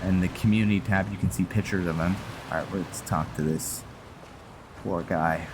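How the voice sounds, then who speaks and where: A man with a fairly low voice hosts a gameplay video in a casual style. He thinks aloud and wanders haltingly between remarks, with clear noise and game ambience behind him. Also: steady tone. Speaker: gameplay video host and role-player.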